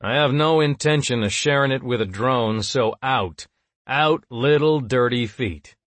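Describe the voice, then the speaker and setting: A man's voice: mid-range voice, moderate pace, fairly bright tone, no room sound, pitched above the performer's own voice, lightly acted. Man playing a sardonic shapeshifter beast, slightly raised voice. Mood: sarcastic. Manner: sardonic, combative. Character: gruff, sarcastic, growling shapeshifter in beast form.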